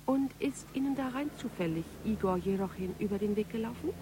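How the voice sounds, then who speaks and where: An actress playing a polite but persistent police investigator questioning a suspect in a radio crime drama. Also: female voice, medium pitch, brisk, warm tone, a little hiss or hum, close to an everyday voice. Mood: insistent. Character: tall gaunt policewoman, probing, polite, persistent interrogator.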